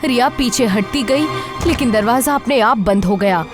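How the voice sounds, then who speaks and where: A woman's voice: a little high, moderate pace, over music. A woman telling a scripted horror story as narrator, in a suspenseful, theatrical manner.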